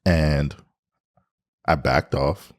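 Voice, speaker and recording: Very deep-voiced man, candid storyteller. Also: very slow. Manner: animated retelling, acting out his own past lines. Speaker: podcast co-host. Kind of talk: candid storytelling in casual chat.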